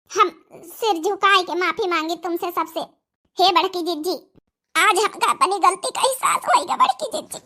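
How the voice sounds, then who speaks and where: A woman voices a young rural mother from an Awadhi cartoon comedy. The voice is childlike in its very high pitch and piercingly bright. She pleads effusively, repeating herself in melodramatic, repentant bursts.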